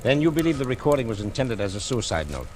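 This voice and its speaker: An actor playing a businesslike, matter-of-fact police investigator in a 1940s radio drama, brisk and official. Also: male voice, medium pitch, big pitch swings, a little mellow, close to an everyday voice. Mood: businesslike, grave. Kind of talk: 1940s radio suspense acting. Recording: vintage radio recording with background noise.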